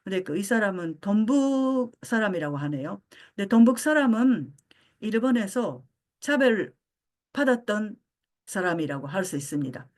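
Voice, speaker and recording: An older woman born in Japan giving a philosophy lecture, pausing often with fillers as she searches for words. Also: medium pitch, expressive, darkish tone. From Japan (Osaka). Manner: frequent hesitation fillers, halting.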